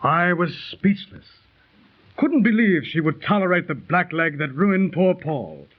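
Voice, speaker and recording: A man in a 1930s radio drama who narrates a tragic story in a measured, dramatic manner. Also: high-pitched, big pitch swings, slight background noise. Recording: tinny 1930s radio transcription.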